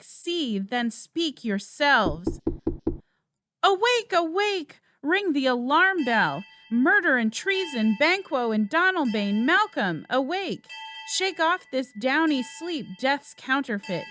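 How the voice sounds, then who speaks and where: A woman's voice: high-pitched, pushed and bright, heavily acted. A woman playing an urgent, horrified nobleman raising the alarm in an amateur Shakespeare reading.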